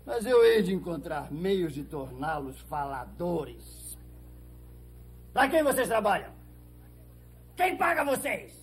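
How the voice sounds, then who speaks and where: A man's voice: high voice, sing-song, slow pace, pauses often, husky, exaggerated voice. A man acting a blustering, pompous middle-aged small-town police chief in a Brazilian telenovela, theatrical and emphatic. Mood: pompous, threatening. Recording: old TV soundtrack with faint hum.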